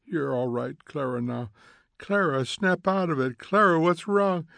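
A man's voice: medium pitch, little pitch movement, a little slow, creaky, darkish tone, no echo. A man voicing an anxious suburban wife who pleads and coaxes. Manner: pleading, coaxing.

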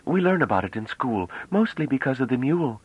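A man reading a novel aloud, voicing a chatty, naive young woman who talks rapidly and almost breathlessly. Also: medium pitch, sing-song, breathy edge, no echo, voice pushed up. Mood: eager. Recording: dull lo-fi dry audio.

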